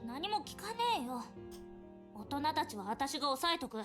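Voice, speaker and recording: A woman voicing a brusque, tough and impatient young tomboy in a visual novel, with rough, blunt phrasing. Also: high voice, big pitch swings, fast, music in the background. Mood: gruff, exasperated. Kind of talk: voice acting for a Japanese visual novel.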